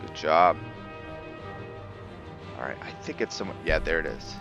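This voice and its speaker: A man giving casual let's-play commentary on a video game, thinking aloud in a halting way and trailing off mid-phrase. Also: extremely low pitch, animated, vocal fry, muffled recording. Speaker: gaming host narrating a playthrough. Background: game music behind the voice.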